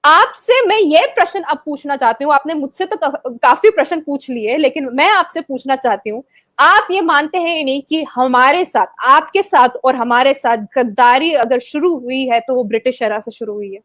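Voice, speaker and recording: A woman from Kashmir, a debate panelist, making her case with forceful rhetorical questions and hesitant fillers. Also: high voice, expressive, moderate pace, dry recording, clean studio sound.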